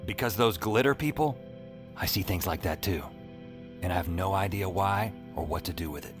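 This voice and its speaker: Deep-voiced, wry, world-weary man, played by an actor. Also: moderate pace, over music, lightly acted. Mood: earnest, reassuring. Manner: wry, deadpan. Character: world-weary male night-shift emergency dispatcher who sees strange things. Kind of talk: noir audio drama narration and dialogue.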